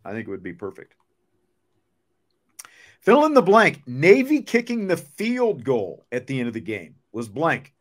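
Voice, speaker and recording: Male sports talk host, somewhat high-pitched, casual and rambling, tends to restate points. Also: huge pitch swings, measured pace, long pauses.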